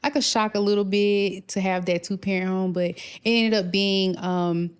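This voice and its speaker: A young woman from Mississippi, a guest talking in a relaxed chat, upbeat and laughing often. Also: medium pitch, normal speed, clean tone. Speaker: podcast guest. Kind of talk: casual chat.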